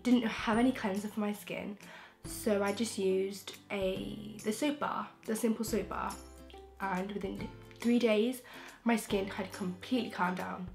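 A young woman from England, croaky from a throat infection, chatting frankly and rambling with restarts as a beauty vlogger in her bedroom. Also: mid-range voice, steady tone, a little slow, darkish tone, faint music behind. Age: young adult. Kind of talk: casual chatty vlog. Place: bedroom, indoors. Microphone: camera in front, close framing.

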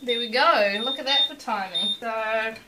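A young woman chats through a home cooking demo with playful, self-mocking asides. Her voice sits a little high. She is filmed up close in a small kitchen at night, and pots, dishes and cutlery clatter loudly behind her. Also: normal speed. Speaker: cooking vlog host. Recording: noisy home kitchen recording. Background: loud clatter of pots, cutlery and dishes.

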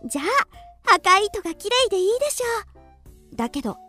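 Polite young housemaid. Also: female voice, very high voice, big pitch swings, fast talker, sharp tone, faint music behind, full character voice. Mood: cheerful, helpful. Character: polite, helpful young housemaid, playful with children.

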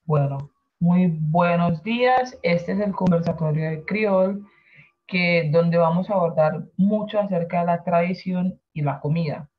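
A woman whose first language is San Andrés Creole, teaching an online class and slowly explaining and translating each word. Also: lowish voice. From San Andrés Island, Colombia. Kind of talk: online class over a video call. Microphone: video call. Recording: dull lo-fi video call audio.

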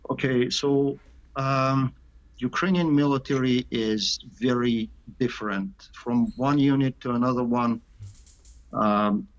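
A man from Canada answering interview questions over a video call, halting, with many pauses and filler sounds. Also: mid-range voice, fairly even intonation. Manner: halting, many "uh" pauses, slight non-native grammar. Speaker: sniper interviewed as guest. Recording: dull lo-fi call audio.

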